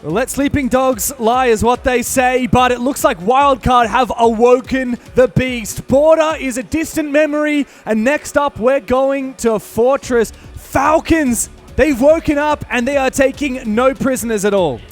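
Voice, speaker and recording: A man casting an esports match as play-by-play commentator, laughing often and building to shouted hype on big plays. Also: very high voice, a little slow, few pauses, faint music behind. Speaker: esports caster.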